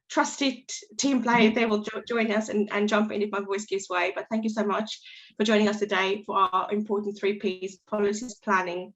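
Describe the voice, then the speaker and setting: Brief: croaky woman, webinar host, friendly, slightly muffled audio. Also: mid-range voice. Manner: friendly, halting, self-correcting. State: croaky voice from a cold. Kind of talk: webinar welcome.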